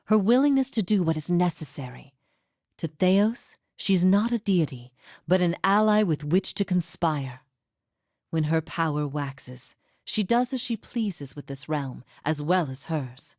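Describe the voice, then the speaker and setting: A woman voicing the solemn, forgiving spirit of a martyred heretic in a fantasy game, with measured, formal delivery and breath at the ends of phrases. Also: low-pitched, darkish tone, no room sound, clean studio sound. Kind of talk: scripted fantasy game dialogue.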